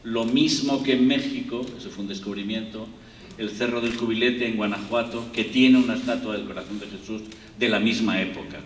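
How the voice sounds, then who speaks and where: A man's voice: fairly low pitch, fairly even intonation, brisk. A man from Spain with a Spanish accent, giving a rambling university lecture with many fillers and restarts. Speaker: guest lecturer. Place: lecture hall. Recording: echoey hall, distant, faint room noise.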